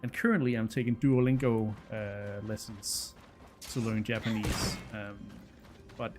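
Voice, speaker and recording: A man's voice: on the low side, little pitch movement, measured pace, a little mellow, faint music behind. Danish-accented man chatting hesitantly. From Denmark. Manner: hesitant, repeats words, frequent fillers. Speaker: game streamer. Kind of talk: casual chat with viewers while playing.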